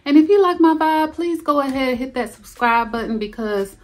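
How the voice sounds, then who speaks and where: A woman in her thirties chatting to camera in her bedroom as a lifestyle vlogger, animated and playful, jumping up high for emphasis. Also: normal speed. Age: 30s. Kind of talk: casual chat to camera. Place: carpeted bedroom at home.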